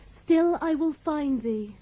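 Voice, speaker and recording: A woman's voice: high voice, slow, mellow. An actress playing a devoted, fearless young woman in a fantasy audio drama, speaking fervent, archaic vows. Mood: yearning resolve. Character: devoted, fearless young woman vowing to find her love.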